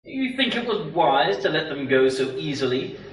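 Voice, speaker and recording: A man's voice: fairly high pitch, sing-song, normal speed, echoey room, recorded from a distance, background noise. A man playing a zealous, easily offended cult acolyte in an amateur sci-fi radio play, with a pious, formal manner. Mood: troubled.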